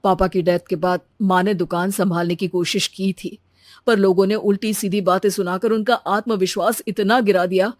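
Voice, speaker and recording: A reasonable young son and husband, voiced by a woman for an animated family story. The voice sits at a middle pitch in a very dry recording, with an earnest, reassuring delivery. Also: no echo, close to an everyday voice. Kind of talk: animated Hindi moral-story voice acting.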